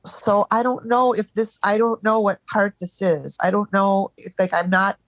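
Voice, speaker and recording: A woman in a live therapy session over a call, speaking haltingly and searching for words, stuffed up from crying earlier. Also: mid-range voice, fairly even intonation, no echo, low-quality recording. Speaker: therapy client.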